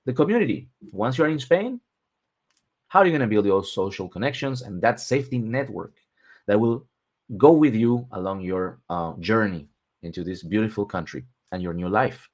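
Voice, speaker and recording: A man, hosting a webinar and working through lists point by point with pauses between items. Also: lowish voice. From Argentina. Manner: steady, list-like delivery with pauses between items. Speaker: webinar host and relocation consultant. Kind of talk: presenting a webinar.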